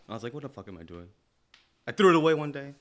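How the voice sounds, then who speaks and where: A man's voice: medium pitch, very animated, rapid-fire. A man co-hosting a podcast in casual, crude banter, speaking in short, slangy, profane bursts. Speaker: podcast co-host.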